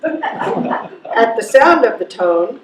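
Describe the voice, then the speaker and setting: A woman's voice: fairly high pitch, very animated, extremely slow, background noise, exaggerated voice. Woman as deity's answering machine, detached, official, echoey stage, distant mic. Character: God or goddess as flat answering-machine greeting. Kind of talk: readers theatre stage performance.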